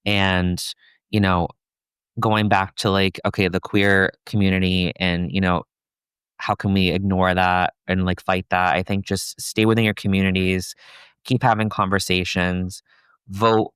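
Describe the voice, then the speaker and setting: A soft-spoken young man talking casually as a guest, his low voice smooth and clear. He keeps a fairly even tone, dotting his speech with "like" and "you know." The sound is close and dry. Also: measured pace, no room sound. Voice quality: soft, somewhat feminine voice. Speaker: podcast guest, content creator. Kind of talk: casual conversational interview.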